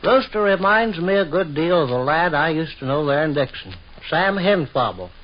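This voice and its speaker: An actor playing a stubborn, rambling old American uncle in a folksy, repetitive 1940s radio comedy style. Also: male voice, fairly high pitch, very animated, moderate pace. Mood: fond, reminiscing. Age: older. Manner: rustic, repetitive, emphatic, homespun turns of phrase. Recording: dull vintage 1940s radio recording.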